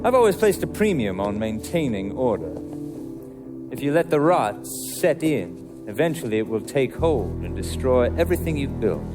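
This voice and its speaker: An actor playing a cold, controlled mob underboss in a video game, speaking in precise, formal phrases with quiet menace. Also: male voice, medium pitch, big pitch swings, moderate pace, music in the background, lightly acted. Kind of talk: video game cutscene acting.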